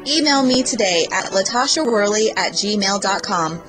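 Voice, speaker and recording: A woman reads a promo in a clear, steady voice of middling pitch. Music plays clearly under her throughout. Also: normal speed. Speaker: ad reader. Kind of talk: read promo. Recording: music bed behind.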